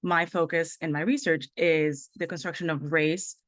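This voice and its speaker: A woman with a notably low voice speaking on a panel over a video call. Her delivery is fluent and formal, and the sound is dry with no room echo. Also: fairly even intonation, moderate pace. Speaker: panelist, PhD candidate. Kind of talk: prepared self-introduction.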